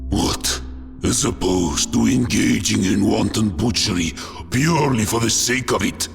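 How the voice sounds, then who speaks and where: An actor playing a grief-stricken, wrathful space marine with a harsh, rattling rasp, speaking slowly and bitterly in a dramatic audio story. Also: male voice, on the low side. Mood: scornful. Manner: slow, bitter, archaic formal speech. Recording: long cavernous reverb, music underneath.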